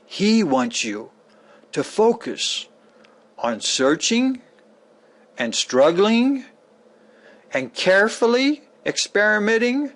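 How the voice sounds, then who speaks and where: A man giving a dramatic, sermon-like scripted lecture reading, with emphatic, deliberate phrasing and a theatrical delivery. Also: medium pitch. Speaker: author reading a sample of an e-book lecture.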